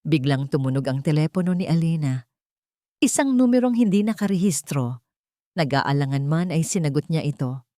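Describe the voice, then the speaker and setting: A woman narrating a scripted dramatic love story in a measured, solemn storytelling manner. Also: deep voice, expressive, dark tone, no room sound, studio quality.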